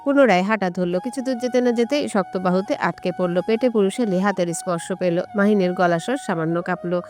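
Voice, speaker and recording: A woman narrates a romantic story in scripted audio-fiction style. Her voice sits at a middle pitch, with music playing softly behind it. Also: brisk. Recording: music bed under the voice.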